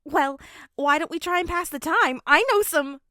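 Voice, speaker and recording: Woman putting on a loud, high, whiny excitable dad. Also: moderate pace, slight background noise. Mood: defensive. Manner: whiny, dramatic protesting.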